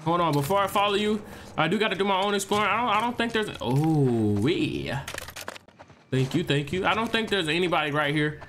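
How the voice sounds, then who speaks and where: Young guy w a stuffy nose, kinda high voice, hyped joking gameplay commentary. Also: brisk, soft background music. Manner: animated, joking, talks to viewers. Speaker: gaming streamer.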